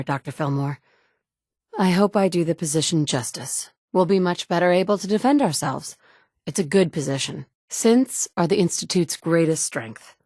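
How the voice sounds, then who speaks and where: An actress playing a capable, dry-witted survivor and leader in a video game, speaking directly and matter-of-factly. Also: female voice, lowish voice, darkish tone, no echo. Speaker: player character. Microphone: close studio mic.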